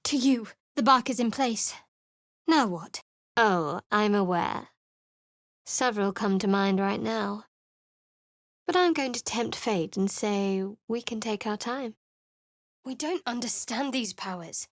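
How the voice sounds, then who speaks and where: A secretive young cleric from a fantasy game, who talks in a dry, wry tone and keeps people at arm's length. Her voice sits a little high. Each line is clean and close, with no room sound, as from a studio booth. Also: takes it slowly, long pauses, no room sound. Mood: wry, guarded. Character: guarded, sardonic young woman cleric. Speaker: game character voice. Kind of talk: video game voice acting.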